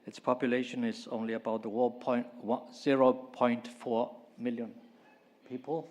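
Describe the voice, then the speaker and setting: A man giving a formal, measured conference talk and pausing often. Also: mid-range voice, flat delivery, darkish tone. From Iceland. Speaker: conference speaker.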